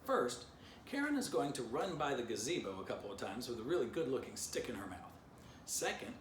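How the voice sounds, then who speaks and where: A man's voice: mid-range voice, sing-song, fairly quick, echoey room, distant mic, a little hiss or hum, voice pushed up, close to an everyday voice. A man reading a storybook aloud as a bossy, pompous, self-important female dog with theatrical delivery.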